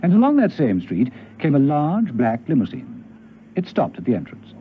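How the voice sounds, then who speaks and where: An actor narrating a 1970s radio spy serial in a grave, dramatic style. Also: male voice, a little high, huge pitch swings, moderate pace, a little hiss or hum. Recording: dull vintage radio recording.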